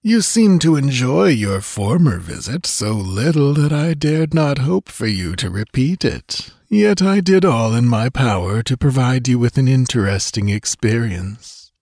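A man's voice: lowish voice, expressive, measured pace, creaky, a little mellow, slightly rough, no echo, studio quality, heavily acted. A man voicing a cruel, lecherous sorcerer-priest in an audiobook story, sardonic and purring with false courtesy. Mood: mocking purr. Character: cruel, mocking, smugly lecherous male sorcerer-priest villain.

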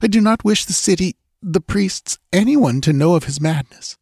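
A man's voice: high-pitched, animated, moderate pace, gravelly, darkish tone, slightly rough, no echo, studio quality, pitched above the performer's own voice, full character voice. A man voicing a frightened young woman, a dancing girl who pleads breathlessly. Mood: pleading.

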